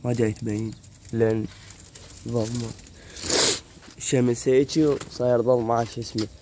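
Man, iraqi arabic accent, hoarse voice, casual vlog chat, phone camera, rooftop outdoors, faint insect noise. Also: mid-range voice, flat delivery, fairly quick. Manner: chatty, talks to the viewers while filming. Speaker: pigeon keeper vlogger. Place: rooftop pigeon loft outdoors. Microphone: phone camera held while walking.

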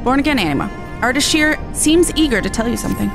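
A woman's voice: fairly high pitch, lively intonation, fast talker, gravelly. A woman streaming a video game, chatting casually with viewers and reading game text aloud. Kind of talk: livestream gameplay chat and reading game dialogue aloud. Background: game music.

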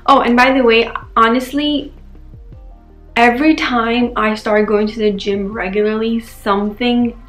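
A woman's voice: a little high, fairly even intonation, a little slow, fairly bright tone, roomy sound, soft background music. A young woman from New York chatting candidly to camera in her bedroom, casual and full of fillers. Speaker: lifestyle vlogger. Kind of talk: casual vlog chat to camera. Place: bedroom indoors.

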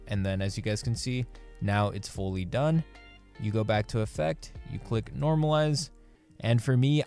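A man's voice: lowish voice, darkish tone. A young man in a small home studio, chatting upbeat and direct to viewers while walking them through audio editing on a close podcast microphone. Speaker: YouTuber teaching audio editing. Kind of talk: casual tutorial. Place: small dim bedroom studio. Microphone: podcast mic on boom arm, close.

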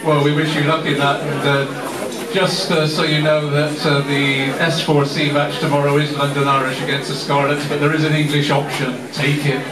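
A man's voice: a little high, monotone, normal speed. A man interviewing two rugby players at a live event, chatty and joking. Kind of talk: live Q&A hosting. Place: bar with a crowd, indoors. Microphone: handheld mic, far camera. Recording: roomy bar, distant camera, loud crowd.

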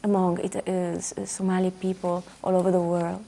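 Gravelly-voiced woman in a studio interview. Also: on the low side, monotone, normal speed, dark tone, slight background noise. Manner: thoughtful, repeats words while searching for phrasing. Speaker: musician guest. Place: dark television studio, seated.

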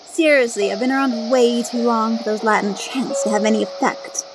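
A woman's voice: on the high side, steady tone, normal speed, noisy background, lightly acted. A woman playing a powerful, mocking young female ghost in a radio drama, taunting and casually smug.

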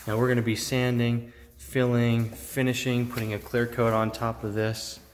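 A man's voice: mid-range voice, little pitch movement, normal speed. An American man around his forties giving a casual, enthusiastic video tour in an informal, admiring way, holding a phone at arm's length. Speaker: vlogger giving a workshop tour. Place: mostly inside an empty unfinished workshop building. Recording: echoey empty room, clear background noise.

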